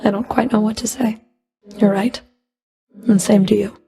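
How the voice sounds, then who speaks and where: A woman's voice: mid-range voice, fairly even intonation, normal speed, gravelly, warm tone, natural voice. A woman playing a stern, sardonic underworld fury in a video game, speaking in a cool, clipped, dry and commanding manner. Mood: soft, restrained. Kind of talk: video game character voice lines.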